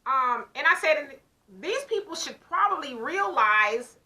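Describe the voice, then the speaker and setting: Bright high-voiced woman hosting a talk show. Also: very animated, a little slow, poor audio. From United States. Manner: conversational storytelling, quotes her own words, emphatic. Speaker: show host. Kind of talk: casual talk show commentary.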